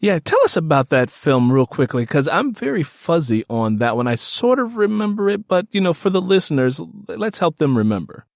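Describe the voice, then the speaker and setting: A man's voice: fairly high pitch, very animated, normal speed, few pauses, no echo, studio quality. A man hosting a casual interview, speaking enthusiastically and repeating phrases for emphasis. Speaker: podcast host interviewing an author.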